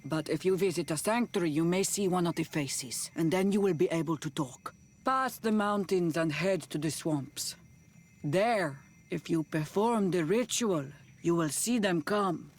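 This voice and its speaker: An actress playing a proud, solemn female clan spiritual leader in a fantasy video game, stern and measured as she explains. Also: fairly low pitch. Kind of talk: video game character acting.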